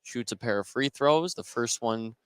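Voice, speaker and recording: An American man calling a high school basketball game live as a radio play-by-play announcer. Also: normal speed, fairly bright tone. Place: courtside in a basketball gym. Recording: clearly noisy gym with bouncing ball.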